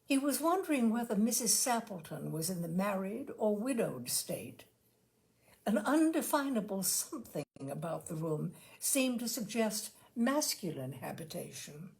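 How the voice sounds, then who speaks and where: Woman, story host, creaky voice, medium pitch, deliberate expressive read-aloud narration. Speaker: host reading short stories aloud.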